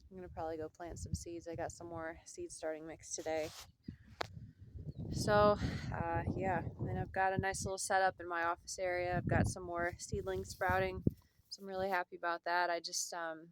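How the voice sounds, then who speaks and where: Chatty woman vlogging at home, low voice. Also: level tone, normal speed, background noise. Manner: rambling, hesitant, with fillers and self-repeats. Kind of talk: casual chat to camera.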